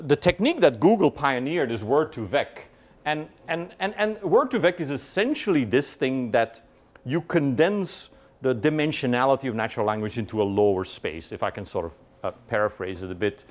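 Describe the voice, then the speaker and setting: A middle-aged man giving a university lecture in a large hall, lively and conversational, often checking in with "right?" and quizzing his audience. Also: medium pitch. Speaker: guest lecturer.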